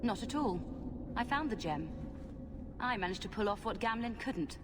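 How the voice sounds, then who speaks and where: An actress playing a feisty, wounded young long-lost daughter in a fantasy video game. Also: female voice, mid-range voice, expressive, normal speed, big-room sound, noisy background, lightly acted. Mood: earnest. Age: young adult. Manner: defiant, earnest, sarcastic.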